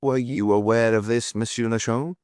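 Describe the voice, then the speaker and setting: A man voicing a detective chief inspector in an audiobook, quiet, measured and persistent in his questioning. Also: mid-range voice, steady tone, no room sound, clean studio sound, lightly acted. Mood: probing. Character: calm, probing male detective chief inspector.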